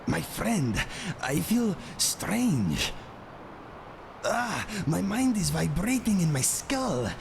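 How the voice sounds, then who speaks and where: Man acting slow, lilting, formal game companion. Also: sits high, sing-song, gravelly, background noise, heavily acted. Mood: distressed, dazed. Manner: formal speech without contractions, measured. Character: loyal male cat-man companion, formal, troubled, gentle. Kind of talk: video game character acting.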